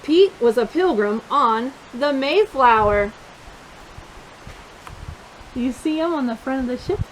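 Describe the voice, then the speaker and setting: A woman reading a picture book aloud for young children, expressive and encouraging, pausing to put questions to her listeners. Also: high voice, a little hiss or hum, poor audio. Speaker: children's storytime reader.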